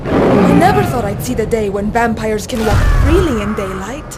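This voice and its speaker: A woman's voice: fairly high pitch, lively intonation, normal speed, over loud music, lightly acted. An actress playing a haughty, hot-tempered female vampire villain in a video game, dramatic and emphatic. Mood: awed, scheming. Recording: loud ambient noise behind voice.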